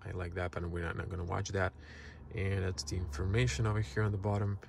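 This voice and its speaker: A man whose first language is Croatian, casually walking through a car's features in a relaxed, halting way from inside a parked car. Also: low voice, creaky, husky. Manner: relaxed, halting, many fillers. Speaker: car reviewer. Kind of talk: casual product walkthrough.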